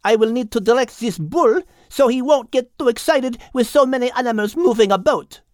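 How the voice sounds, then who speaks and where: A woman voicing a bossy, officious hen with a brisk, scolding manner in an audiobook. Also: a little high, darkish tone, no room sound, studio quality, close to an everyday voice. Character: bossy, haughty French mother-hen character. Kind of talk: audiobook character acting.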